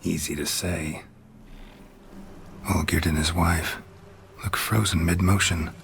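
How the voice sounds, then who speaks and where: Gruff monster hunter, very low, terse, deadpan and skeptical, reverberant space. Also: male voice, slow pace, exaggerated voice. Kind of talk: dramatic video game voice acting. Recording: reverberant space, faint noise.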